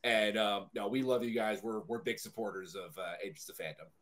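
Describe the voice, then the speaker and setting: Friendly man chatting, slightly low voice. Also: brisk, a bit bright. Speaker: podcast guest, entertainment news writer. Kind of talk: casual chat.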